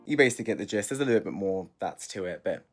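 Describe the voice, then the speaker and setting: A man's voice: lowish voice, expressive, rapid-fire, over music. A young man, a musical theatre student, narrating his week in a chatty, upbeat vlog style with self-deprecating asides.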